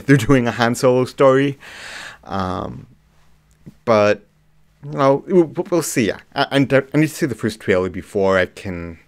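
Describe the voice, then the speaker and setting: Low-voiced man, a bit hoarse. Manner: relaxed, frequent ums and restarts. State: slightly hoarse with a cough and sore throat. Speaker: livestream host. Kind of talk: casual live chat reading viewer comments.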